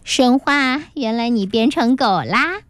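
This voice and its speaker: A woman's voice: sits high, a little slow, a bit bright, no room sound. A woman voicing a pouty, teasing young child who turns whiny and squealing, in a children's story. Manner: whiny, squealing when upset.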